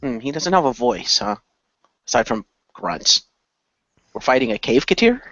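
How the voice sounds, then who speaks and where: A man's voice: mid-range voice, sing-song, moderate pace, fairly bright tone, dry recording. A man improvising narration and casual in-character dialogue for an amateur radio play. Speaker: player in fan radio play. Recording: dull lo-fi voice recording.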